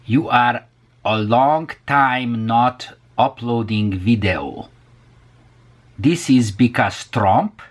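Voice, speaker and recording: A man voicing a blunt Hungarian man in halting, clipped broken English with a Hungarian accent. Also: mid-range voice. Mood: blunt, accusing. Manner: slow, halting, clipped phrases.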